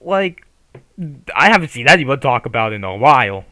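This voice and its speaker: A man's voice: mid-range voice, very animated, moderate pace, sharp tone, no echo. A man giving casual, opinionated commentary on a manga, swearing freely and rambling with restarts. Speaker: YouTuber reviewing a manga.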